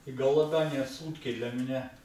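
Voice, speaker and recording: A man's voice: medium pitch, steady tone, moderate pace. Fifties guy telling a story between sets, plain and chatty, far from the mic. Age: 50s. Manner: plain storytelling, brief replies. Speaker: trainee. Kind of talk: casual chat between sets. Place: home gym room. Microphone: camera across the room. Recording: echoey room from far off, faint background noise.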